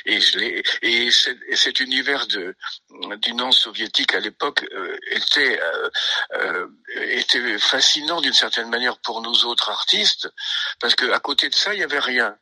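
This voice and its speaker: An older man from Italy, a pianist, reminiscing in a radio interview, halting and searching for words with many fillers. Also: mid-range voice, expressive, bright, forceful tone, slightly rough, no room sound, rough recording.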